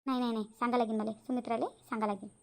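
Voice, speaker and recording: Casting: woman playing a bossy village mother-in-law, high rapid scolding. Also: little pitch movement, natural voice. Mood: gossiping. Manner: fast scolding chatter. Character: scheming nosy middle-aged village mother-in-law. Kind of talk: cartoon voice acting.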